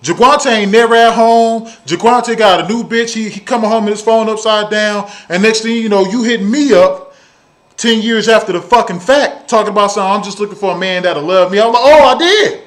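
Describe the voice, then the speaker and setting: Male vlogger, 30s, Southern US accent, high voice. Casual storytelling rant, blunt, sweary, emphatic repetition. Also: a little fast. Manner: blunt, profane, emphatic, repeats phrases for effect. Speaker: video blogger.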